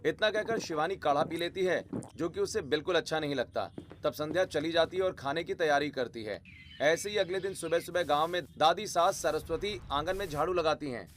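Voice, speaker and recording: A man's voice: fairly high pitch, brisk, slight background noise. A man giving scripted narration of a family story as the narrator.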